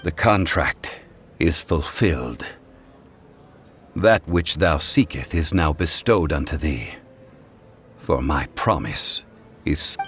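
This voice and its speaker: A man voicing a dignified ancient god in human form for a video game, formal and measured. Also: extremely low pitch, expressive, a bit bright, slight background noise, heavily acted. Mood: solemn. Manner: formal, measured, archaic wording. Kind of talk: video game character dialogue. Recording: faint music behind the voice.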